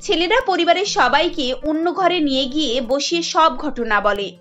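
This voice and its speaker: High-voiced woman narrating a cartoon. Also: a little fast, lo-fi sound, faint music behind. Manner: steady, storytelling delivery. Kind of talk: scripted cartoon storytelling narration.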